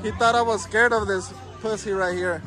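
A man's voice: high voice, steady tone, unhurried, music in the background. A young man vlogging on a busy city sidewalk with a handheld phone, taunting and laughing at people he films. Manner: taunting, laughing, talks to camera. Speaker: street vlogger filming restaurants. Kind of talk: confrontational street filming. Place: busy city sidewalk outside restaurant patio. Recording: noisy outdoor street recording. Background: crowd chatter and street traffic.

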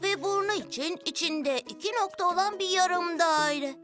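A woman's voice: very high-pitched, moderate pace. A woman voicing a kind, helpful little artist who gives encouraging drawing instructions in a children's cartoon. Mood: cheerful, instructive. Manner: encouraging, clear instructional delivery. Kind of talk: children's cartoon dub acting. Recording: music behind the voice.

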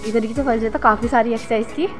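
A woman's voice: fairly high pitch, steady tone, racing, soft background music. A woman, chatting casually to her phone held at arm's length for a vlog. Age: 20s. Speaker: vlogger. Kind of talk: casual vlog chat to camera.

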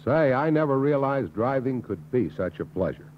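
An actor playing an agreeable middle-aged car buyer in a 1950s industrial film, speaking in an approving, folksy way. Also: male voice, mid-range voice, animated, moderate pace, natural voice. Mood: pleased, approving. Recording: muffled, hissy 1950s film soundtrack.

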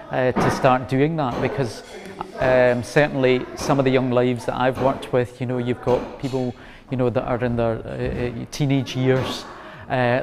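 A man in his forties with a Scottish accent, speaking in an earnest, measured way as he gives considered interview answers. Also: mid-range voice, noisy background. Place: large community hall, indoors. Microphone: lapel mic.

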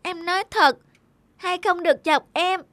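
A woman's voice: very high voice, measured pace, a bit bright, no echo. A woman voicing a spirited little sister in a children's cartoon, childlike and petulant. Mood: huffy. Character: pouting, spirited little girl, younger sister. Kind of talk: animated children's cartoon acting.

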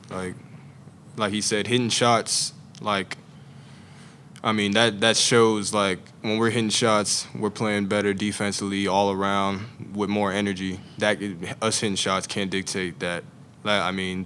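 Low-voiced young college player, hesitant. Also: male voice, level tone, unhurried, sharp tone, slight background noise. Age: young adult. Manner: hesitant, many "like" and "I mean" fillers. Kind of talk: postgame press conference. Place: press conference room. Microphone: table mic on a stand.

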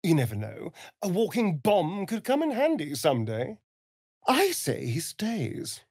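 An actor voicing a vain, sardonic vampire elf rogue in a video game, with an arch, drawling, theatrical delivery. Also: male voice, high-pitched, huge pitch swings, unhurried, a little mellow, breathy edge, no echo, clean studio sound, heavily acted. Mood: measured, serious. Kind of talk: video game character voice lines.

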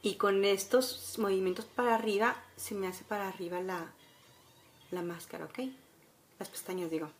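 A woman's voice: mid-range voice, steady tone, moderate pace, a little hiss or hum. A woman in her forties with a Mexican accent, chattily talking viewers through a casual makeup tutorial at home. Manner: instructional, chatty, with frequent checking tags. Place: room at home by a window, indoors.

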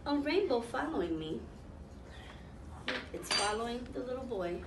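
Slow-paced woman reading to young children. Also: on the high side, expressive. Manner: pauses to ask listeners questions and explain. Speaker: volunteer children's story reader. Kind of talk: picture-book read-aloud to young children. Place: small classroom, indoors, seated on floor. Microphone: camera a few metres away. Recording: roomy, distant mic, noticeable background noise.

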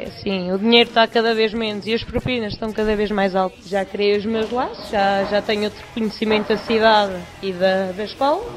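A young adult woman with a Portuguese accent, a student answering casually in a vox pop. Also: medium pitch.

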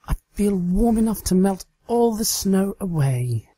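Casting: high-voiced female pony magician, played by a man, theatrical, breathy and pleading, for storybook narration. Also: sing-song, a little slow, mellow, dry recording, heavily acted. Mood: breathless.

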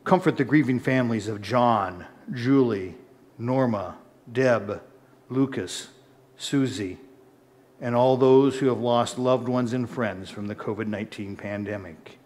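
A middle-aged man, a pastor, leading intercessory prayers at the altar of a large church, in a measured, solemn intoning manner that lifts for the liturgy. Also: mid-range voice, a little mellow. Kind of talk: liturgical prayer and chanted-style preface. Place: church sanctuary, indoors, large. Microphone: far camera, altar mic.

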